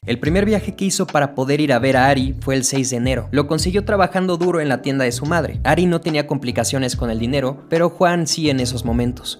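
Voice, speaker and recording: A man's voice: mid-range voice, quick pace, hardly any pauses. A man reading a scripted narration of facts for an online video. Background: music.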